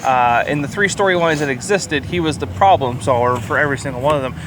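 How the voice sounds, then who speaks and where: Man chatting over loud traffic noise. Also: fast. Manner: crude joking, profane. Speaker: podcast co-host reviewing a movie. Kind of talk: casual chat, movie review. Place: outdoors or in a car near a highway. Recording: outdoor traffic noise from nearby highway. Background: highway traffic.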